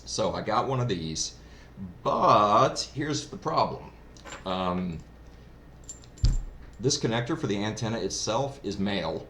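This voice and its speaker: Man, very low voice, casual reviewer, rambling explanations, roomy sound. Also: animated, slow, pauses often, pushed and bright. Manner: rambling, explanatory, self-described novice. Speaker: product reviewer. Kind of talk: casual unscripted gear review. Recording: roomy echo, clear background noise.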